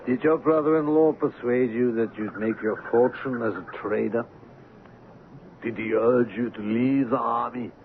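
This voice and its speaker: An actor playing a weary middle-aged European ivory trader in a radio drama, formal and restrained in manner. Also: male voice, medium pitch, steady tone, unhurried, close to an everyday voice. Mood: weary, resigned. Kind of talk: 1970s radio drama acting. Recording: muffled, noisy 1970s AM radio recording.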